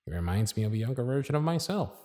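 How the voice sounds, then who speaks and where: A man's voice: medium pitch, big pitch swings, fast talker, no echo. Guy doing an asmr roleplay as a grumpy sarcastic boss, voice jumps around a lot, dead dry close mic. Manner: sarcastic, weary and brusque, softening at times. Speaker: audio roleplay performer. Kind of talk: ASMR roleplay, acting a jaded workshop supervisor.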